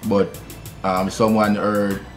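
A young Jamaican man talking casually in an interview, relaxed and rambling with many fillers, recorded on an outdoor patio. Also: on the low side, takes it slowly, over music. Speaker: guest musician. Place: outdoor covered patio by a fence.

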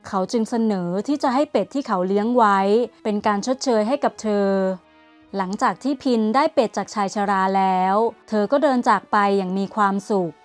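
A woman narrating a bedtime story in a soft, unhurried way. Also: medium pitch, soft background music.

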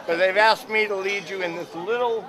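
A man giving a loud, heartfelt speech to a crowd. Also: high-pitched, steady tone, moderate pace. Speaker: ceremony leader.

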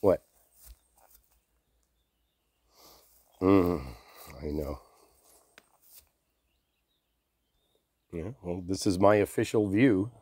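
A man playing an affectionate, easygoing boyfriend in an ASMR roleplay, speaking softly and drowsily in an intimate, unhurried way. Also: low voice, no echo. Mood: fond, teasing.

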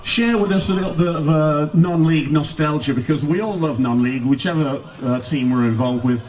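A man's voice: fairly high pitch, a little fast, darkish tone. A man acting as match-day host, who interviews guests and announces teams in a showy, upbeat way. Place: outdoors at a football stadium. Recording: echoey public address, distant, crowd behind.

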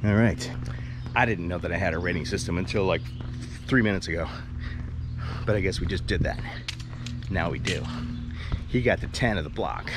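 A man's voice: deep voice, moderate pace, pauses often, over music. A man in his forties rambling and joking through a casual walking tour vlog, filmed on an action camera held at arm's length outdoors. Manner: rambling, jokey, chatty self-talk. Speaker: vlogger. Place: outdoors on a sunny residential street. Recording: outdoor street noise. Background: street ambience and passing cars.

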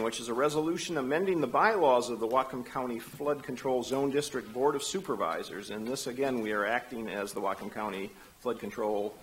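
A man chairs a county council meeting from the dais, moving briskly through motions and roll calls with the odd dry aside. His voice sits at an ordinary middle pitch. A faint hiss lies under the desk microphone in the council chamber. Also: a bit bright, poor audio.

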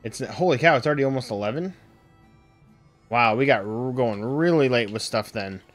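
A man in his thirties with a raspy, sore voice, rambling through casual live-stream commentary at home with lots of ums. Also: mid-range voice, lively intonation, moderate pace, faint music behind. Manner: rambling, full of ums and uhs. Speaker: game streamer.

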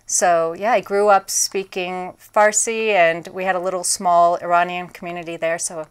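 Woman in her forties, mayor guest; low, smooth, resonant voice; measured and upbeat; lapel mic in a small studio. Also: flat delivery. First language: English. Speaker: town mayor. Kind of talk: studio interview answers. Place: small TV studio set, indoors.

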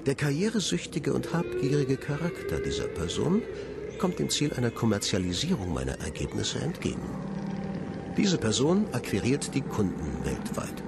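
A man playing a coldly clinical scientist who dictates a research report in formal, measured words in a radio drama. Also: mid-range voice, huge pitch swings, gravelly, loud music behind the voice. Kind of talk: scripted monologue in a radio drama.

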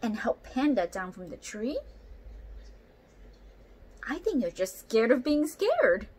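A woman's voice: on the high side, sing-song, takes it slowly, darkish tone. A young woman voicing a lonely, earnest storybook python who speaks firmly and confidently. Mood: firm, reassuring. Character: lonely, earnest python seeking a brave friend. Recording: noisy home room recording.

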